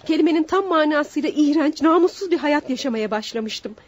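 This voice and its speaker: A woman's voice: high voice, lively intonation, brisk. An actress playing an emotional, pleading woman on trial for killing her husband in a radio drama. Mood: sorrowful confession. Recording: dull lo-fi radio drama recording.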